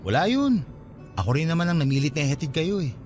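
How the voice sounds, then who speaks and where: A man playing a kind, courteous young gentleman in a Tagalog radio drama, easygoing and reassuring. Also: fairly high pitch, sing-song, fast talker, faint music behind.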